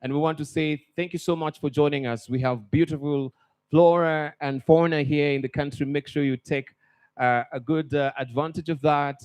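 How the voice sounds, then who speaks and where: A man's voice: on the high side, steady tone, normal speed, darkish tone, clear, resonant tone. Upbeat man emceeing a conference. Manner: upbeat, welcoming, chatty. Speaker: master of ceremonies. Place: conference hall.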